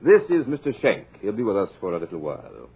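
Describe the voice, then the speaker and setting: An actor playing a smooth, commanding radio producer with a sinister edge, in a 1940s radio drama. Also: male voice, lowish voice, huge pitch swings, fast talker, a little mellow, exaggerated voice, low-quality recording. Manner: suave, commanding.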